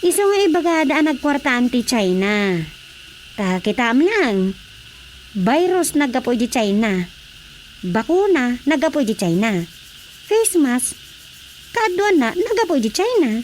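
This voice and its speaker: An actress playing an earnest young village woman in a Filipino radio drama. She has a high voice and a Filipino accent, recorded with faint background noise. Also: very animated, unhurried, long pauses. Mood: insistent. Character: earnest village daughter explaining local worries.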